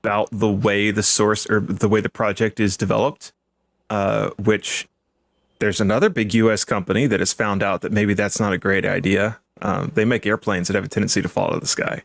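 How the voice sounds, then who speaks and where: A man's voice: fairly low pitch, little pitch movement, a little fast, vocal fry, no room sound. A man discussing technology analytically as a podcast panelist.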